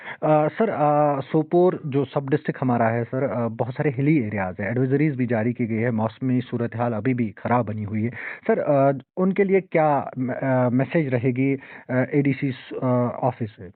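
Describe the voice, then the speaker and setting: A man's voice: medium pitch, phone-quality sound. A man with a Kashmiri accent reporting and interviewing as a news journalist, hesitant and repeating himself as he goes. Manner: hesitant, halting delivery with repeated phrases.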